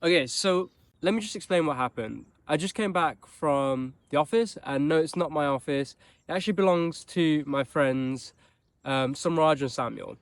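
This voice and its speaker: A man's voice: fairly high pitch, normal speed, dry recording. Chatty young man with a Birmingham accent. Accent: Birmingham English. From England. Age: young adult. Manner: chatty and upbeat, with restarts and loose asides. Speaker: vlogger and startup founder. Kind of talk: casual vlog talk to camera. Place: outdoors in a mild city.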